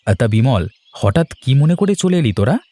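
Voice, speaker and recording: A man's voice: medium pitch, big pitch swings, brisk, warm tone, dry recording. A man playing an easygoing adult son in a cartoon family story, good-natured and conversational. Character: easygoing adult son, head of a village household.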